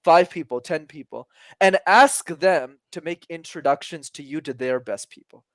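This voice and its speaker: A man in his twenties, a communication coach talking as a podcast guest, energetic and emphatic, casual with some swearing. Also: a little high, moderate pace, no echo. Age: 20s.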